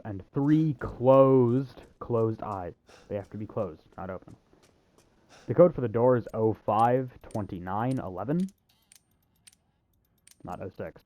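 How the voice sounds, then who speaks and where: A man's voice: on the low side, lo-fi sound. A man giving a casual video game walkthrough in an offhand way, with wry asides. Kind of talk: casual gameplay walkthrough commentary.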